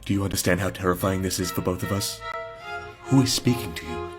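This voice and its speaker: A man's voice: sits low, fairly quick, music in the background, close to an everyday voice. A man voicing a small talking rat who asks anxious, bewildered questions. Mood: existential panic.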